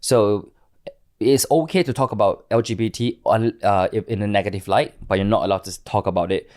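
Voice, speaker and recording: A man's voice: lowish voice, fairly quick, darkish tone. A man in his late thirties with a Singaporean accent, speaking candidly as an interview guest and often searching for his words.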